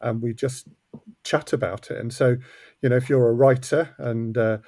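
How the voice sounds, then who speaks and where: A man's voice: mid-range voice, fairly even intonation, moderate pace, warm tone, no echo, studio quality. A man speaking casually as an interview guest on a podcast. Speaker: guest, audio drama co-creator.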